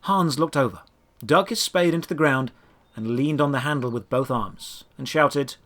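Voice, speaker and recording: A man narrating a first-person fantasy adventure story in a scripted, dramatic storytelling manner, with deliberate phrasing. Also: mid-range voice, fairly bright tone.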